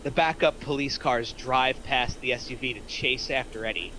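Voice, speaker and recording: A man's voice: medium pitch, moderate pace, pushed and bright, a little hiss or hum. A man reading out screenplay stage directions as a narrator in a scripted table read.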